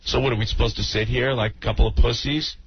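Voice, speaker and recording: A man's voice: medium pitch, fairly even intonation, quick pace, slightly rough. A man hosting a radio show with unscripted banter, speaking in a blunt, sarcastic, indignant way. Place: radio studio. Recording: dull, noisy old radio tape.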